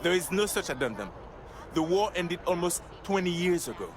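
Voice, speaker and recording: An actor playing a formal, flustered and exasperated diplomat in video game dialogue. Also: male voice, high voice, very animated, a crowd in the background, background noise. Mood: indignant. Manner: formal wording, exasperated, halting.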